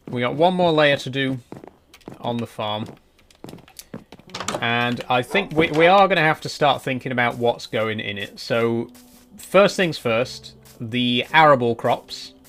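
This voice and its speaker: A man in his twenties streaming a building game, chatting casually and answering viewers' messages as he plays. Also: medium pitch. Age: 20s. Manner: relaxed, rambling, answers chat messages. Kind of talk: casual live-stream chat while playing.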